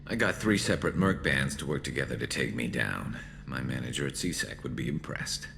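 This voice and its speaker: A man voicing a battle-scarred alien soldier in a video game, loyal and dryly wry, with a gravelly voice. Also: animated, brisk, fairly bright tone, slight background noise, heavily acted. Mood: grim, proud. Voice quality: gravelly, very deep. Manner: dry, wry, clipped. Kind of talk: video game dramatic acting.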